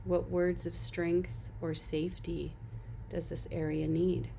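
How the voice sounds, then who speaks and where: A woman leading a guided body-scan meditation as an instructor, giving gentle, unhurried instructions and soft invitations. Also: on the low side, little pitch movement, creaky, slight background noise.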